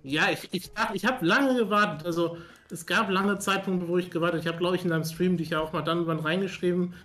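A young man chatting casually on a livestream as a sports streamer, in an earnest, halting way. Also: sits high, fairly bright tone. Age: young adult. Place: small home studio room, on a video call.

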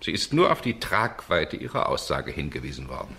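A man's voice: extremely low pitch, very animated, a little fast, creaky, very noisy, full character voice. An actor playing a stern, authoritative male judge, formal and admonishing, in a German crime radio play.

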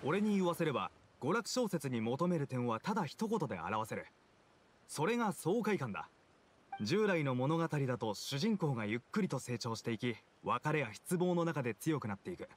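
A man's voice: medium pitch, sing-song, normal speed, a little hiss or hum, natural voice. An actor playing an opinionated townsman in a video game, explaining his tastes in an assertive, casual way. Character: opinionated adult male townsman, avid novel reader. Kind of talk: scripted video game dialogue.

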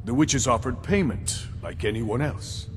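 An actor playing a weary, wise old male warrior leader in a fantasy game, speaking in a solemn, measured way. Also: medium pitch, lightly acted. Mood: solemn, explaining. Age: older. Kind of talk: scripted fantasy game dialogue. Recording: hall reverb with game music behind.

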